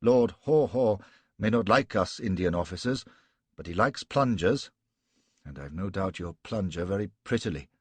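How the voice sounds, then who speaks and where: A man's voice: low voice, animated, moderate pace, husky, no echo. A man doing a dry, Welsh-sounding veteran captain. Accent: Welsh-sounding lilt. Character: weary veteran army captain, middle-aged man. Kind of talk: audiobook character voice.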